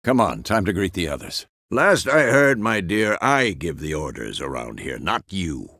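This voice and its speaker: An aging monster hunter from a fantasy game, weary but steadfast. The voice is low and gravelly, with wide swings of pitch and a close, dry sound. He speaks in terse, measured phrases with a gruff fatherly authority. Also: sing-song, bright, forceful tone, no room sound, full character voice. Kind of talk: fantasy video game character dialogue.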